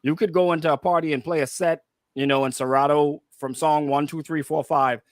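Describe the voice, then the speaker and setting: Bahamian guy co-hosting radio, voice a bit high, casual explainer, repeats himself, dry studio sound. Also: fairly even intonation, fairly quick, no room sound. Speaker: radio co-host and DJ.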